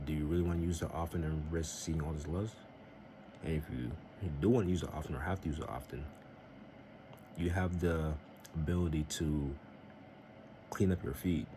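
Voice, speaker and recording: Young man, very low voice, earnest preachy vlogger, noisy home recording. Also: steady tone, moderate pace, pauses often. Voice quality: very deep voice. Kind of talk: casual faith-based vlog talk.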